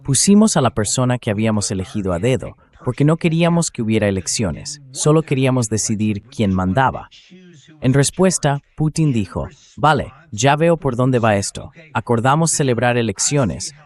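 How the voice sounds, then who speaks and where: A man's synthetic voice-over reading translated political commentary in a measured, explanatory way, as an interview guest. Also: mid-range voice, animated, a little mellow.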